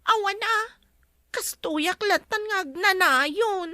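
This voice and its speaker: A woman's voice: extremely high pitch, slow, bright, forceful tone, no echo, full character voice. An actress playing an overworked young woman who does all the chores and keeps complaining. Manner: complaining, put-upon.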